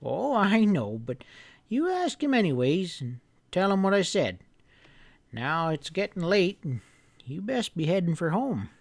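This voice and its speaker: A man's voice: high voice, very animated, unhurried, gravelly, dry recording, voice pushed up, full character voice. A man voicing a kindly, folksy old grandfather with a drawling country way of talking, in an audiobook. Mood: gently urging.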